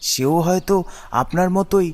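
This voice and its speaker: A man voicing a poor village beggar, humble and grateful, with a deferential, pleading manner in an animated story. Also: sits high, moderate pace, pitched above the performer's own voice, close to an everyday voice.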